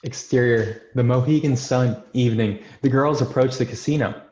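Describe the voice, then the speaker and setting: A man's voice: mid-range voice, moderate pace, a little mellow. A man narrating a screenplay's stage directions in a scripted table-read style. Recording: roomy, dull remote call audio.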